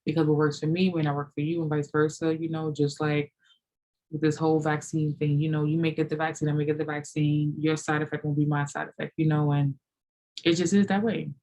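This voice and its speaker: A woman's voice: low voice, flat delivery, fairly quick, mellow, rough recording. A woman in her late thirties answering viewer questions in a loose, rambling chat, full of "you know" and "like". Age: 30s. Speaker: fitness vlogger. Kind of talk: casual Q&A chat.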